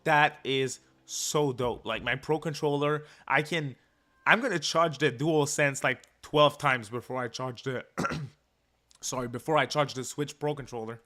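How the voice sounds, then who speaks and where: A man's voice: medium pitch, measured pace, bright, forceful tone. A man hosting a livestream in a laid-back, informal, slangy way, chatting casually with his viewers. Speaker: livestream host. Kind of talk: casual livestream chat, reacting and reading viewer comments. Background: music.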